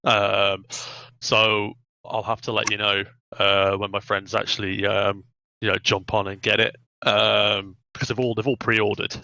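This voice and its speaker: Low, creaky, bright-voiced man rambling casually. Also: level tone, measured pace, no room sound, muffled recording. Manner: hesitant, repetitive, loosely rambling. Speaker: podcast panelist. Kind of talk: casual, rambling gaming chat.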